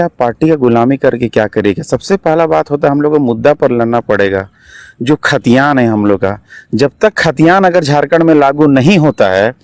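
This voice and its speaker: A man's voice: medium pitch, a little mellow, no echo. Young man with a Jharkhand Hindi accent. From Jharkhand, India. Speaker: election candidate, political activist. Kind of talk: political interview answers.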